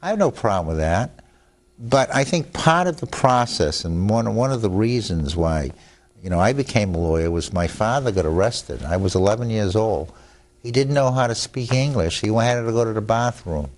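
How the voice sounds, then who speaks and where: A man's voice: lowish voice, lively intonation, normal speed. An older man, a lawyer whose first language is Italian, talking in a studio interview with restarts and chuckles. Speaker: lawyer guest. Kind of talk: studio talk show interview.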